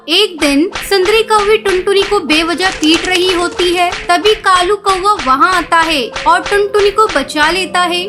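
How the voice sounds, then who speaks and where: A woman reading scripted narration for a children's cartoon story. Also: very high voice.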